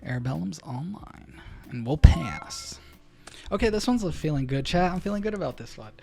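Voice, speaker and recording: A man with a gravelly, fry-edged voice talks his way through a card game for his chat. He muses over each choice and bursts into loud, excited exclamations when a play surprises him. Soft music plays underneath. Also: fairly high pitch, normal speed. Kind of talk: thinking aloud while playing, talking to chat.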